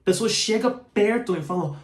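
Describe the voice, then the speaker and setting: A man delivering a sermon with a noticeable foreign accent, since Portuguese is not his first language. His voice sits fairly high for a man, and he teaches in an earnest, direct way. The recording has a roomy echo. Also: normal speed. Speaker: preacher. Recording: echoey room.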